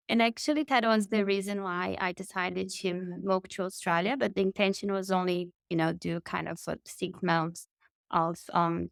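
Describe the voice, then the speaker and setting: A woman from Brazil speaks English with a Brazilian Portuguese accent, warm and enthusiastic as she tells her story to an interviewer. Her pitch sits in the middle range. The room sounds dry and close to the mic. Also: gravelly, clean tone, no room sound. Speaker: guest, marketing consultant.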